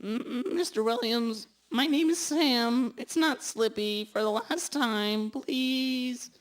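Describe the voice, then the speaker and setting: Clumsy, dim deputy played by a woman, high pitch, dragging delivery, comic hobby radio play, rough sound. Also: takes it slowly. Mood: eager. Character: dim-witted, eager, clumsy detective's sidekick. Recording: rough amateur recording.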